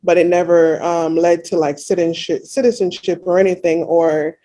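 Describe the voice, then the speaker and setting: A woman's voice: on the low side, steady tone, moderate pace, dark tone. A woman in her thirties from Jamaica, a guest in a casual interview, talking reflectively with many "you know" fillers.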